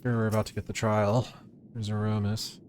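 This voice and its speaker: A man's voice: lowish voice, flat delivery, a little fast, a little mellow, a little hiss or hum. A man giving casual, wry live commentary as a game streamer. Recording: game music behind.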